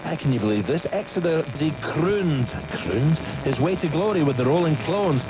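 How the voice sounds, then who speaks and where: A man's voice: a little high, animated, moderate pace. A man hosting a radio show in a casual, rambling style, full of jokey teasing. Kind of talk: casual breakfast radio banter. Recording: noisy radio broadcast capture.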